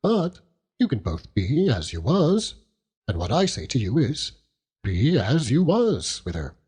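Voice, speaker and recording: Hearty old country seaman, chuckling, overjoyed, lively pitch, unhurried audiobook character. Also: slightly rough, full character voice. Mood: fond, earnest. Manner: chuckling, rambling, dialect-heavy storytelling with exclamations. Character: hearty old working-class seaman uncle, doting and overjoyed.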